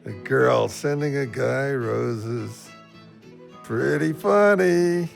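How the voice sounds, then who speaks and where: An actor in an audio drama playing a big, dim, soft-hearted sidekick with a guileless, slangy way of talking. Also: male voice, medium pitch, drawn-out words, gravelly, soft background music, heavily acted. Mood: slow, mild. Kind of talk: gritty contemporary audio drama dialogue.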